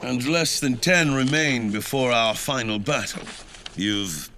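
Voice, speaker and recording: An actor playing a stern, suspicious king in a fantasy audio drama, speaking in stately, archaic, deliberate phrases. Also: mid-range voice, pushed and bright, slight background noise. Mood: measured approval.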